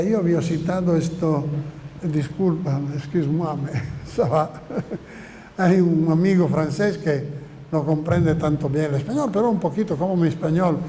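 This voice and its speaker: An older man, a native Italian speaker with an Italian accent, giving a halting, improvised academic lecture, often searching for words and repeating phrases. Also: fairly high pitch, warm tone. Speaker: seminar lecturer. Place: seminar room or hall, indoors. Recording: echoey reverberant hall with room noise.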